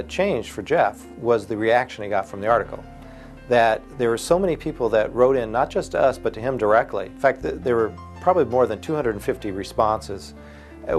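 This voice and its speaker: A man giving a reflective recollection in a documentary interview. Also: mid-range voice. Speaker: interviewee, magazine publisher. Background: soft guitar music.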